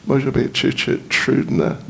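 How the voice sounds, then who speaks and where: A man with an English accent, a native English speaker, gives a lecture in slow, halting Russian, often searching for words. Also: medium pitch, animated, creaky. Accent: English-speaking, speaking Russian as a learner. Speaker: visiting spiritual teacher giving a lecture. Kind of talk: slow lecture with verse chanting. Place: lecture hall.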